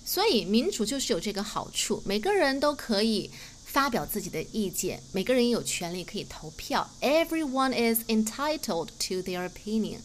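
Mandarin native lady hosting a language lesson, highish voice, slight background noise. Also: low-quality recording. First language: Mandarin Chinese. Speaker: English teacher, host.

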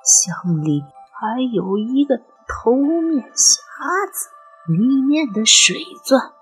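A woman's voice: fairly high pitch, big pitch swings, slow, husky, soft background music, pitched above the performer's own voice, heavily acted, rough recording. A woman voicing an elderly grandmother in an audio drama, imperious and haunted by memory. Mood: trembling, trance-like. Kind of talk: Chinese audio drama acting.

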